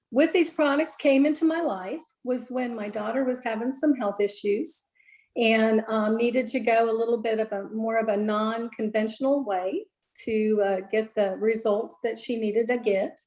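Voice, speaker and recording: A woman's voice: a little high, darkish tone, rough recording. Earnest woman in her sixties. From Louisiana. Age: 60s. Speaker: guest giving a testimony. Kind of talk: personal testimony on a video call.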